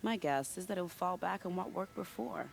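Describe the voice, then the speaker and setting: A woman playing a sly, secretive, charming spy in a video game, teasing in a silky, unhurried way. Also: fairly low pitch, gravelly, slight background noise. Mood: sly, confiding. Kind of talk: video game cutscene acting.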